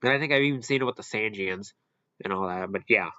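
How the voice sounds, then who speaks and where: A man hosting a casual, unscripted ranking commentary, halting and rambling as he corrects himself along the way. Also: fairly low pitch, pushed and bright, dry recording, dull audio. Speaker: video host ranking products.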